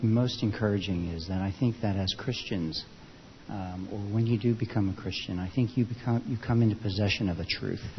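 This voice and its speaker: A man speaking to a group in a thoughtful, unscripted way, with a measured, halting, reflective manner, recorded in a large reverberant room. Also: lowish voice. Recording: dull, hissy, echoey hall recording.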